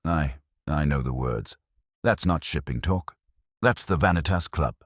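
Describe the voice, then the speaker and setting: A burly male harbour master, played by a male narrator with a very deep voice. He is gruff and plain-spoken, handing out information in clipped phrases, as in a storybook mystery with a dry, close sound. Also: expressive, a little slow, dry recording, full character voice. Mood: gruff, knowing. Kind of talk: audiobook detective story dialogue.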